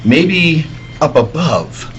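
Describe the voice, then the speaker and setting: A man's voice: fairly high pitch, lively intonation, very slow, a bit bright, a little hiss or hum, heavily acted. A man playing an earnest newspaper reporter and superhero in the style of a 1940s radio serial. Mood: urgent, insistent. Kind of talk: 1940s radio serial acting at a table read.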